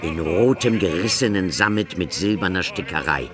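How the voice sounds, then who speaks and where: A man playing a solemn storyteller in a radio play, reciting a gothic literary tale in a deliberate, theatrical manner. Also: low voice, lively intonation, music in the background. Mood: grave fascination. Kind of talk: dramatic literary narration.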